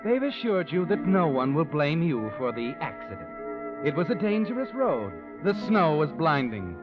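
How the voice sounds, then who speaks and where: A sinister, all-knowing storyteller in 1940s radio noir style. His slightly high voice is ominous and insinuating, set against clear music on a dull old recording. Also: big pitch swings, moderate pace. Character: sinister all-knowing male narrator haunting a guilty man.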